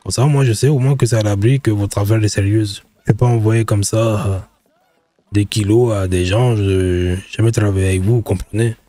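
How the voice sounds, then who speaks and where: Man playing a cautious haggling buyer: low, mellow, unhurried bargainer, dry close mic. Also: steady tone, no echo, lightly acted. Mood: wary haggling. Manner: deliberate, bargaining, casual street French. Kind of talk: roleplay in character.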